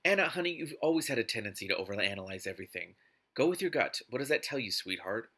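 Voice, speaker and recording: Doting, pushy middle-aged mom from a novel, read by a man, bright and forceful. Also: mid-range voice, expressive, fast. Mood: insistent. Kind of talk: audiobook-like reading of a novel.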